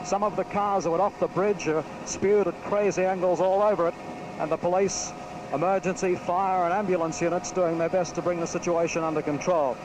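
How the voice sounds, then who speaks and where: An Australian man gives a live radio news report in a high-pitched voice. The field link is noisy, with a clear engine rumble. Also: fairly even intonation, a little fast.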